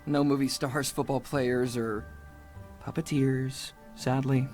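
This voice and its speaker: A woman's voice: extremely low pitch, steady tone, slow, music in the background. An actress playing a friendly, chatty young woman puppeteer in a video game, with a teasing manner. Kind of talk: video game character dialogue.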